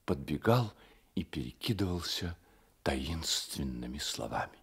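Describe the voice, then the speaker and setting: A man's voice: extremely low pitch, lively intonation, a little slow, gravelly, slightly rough. A man reading literary narration as the storyteller of a radio play.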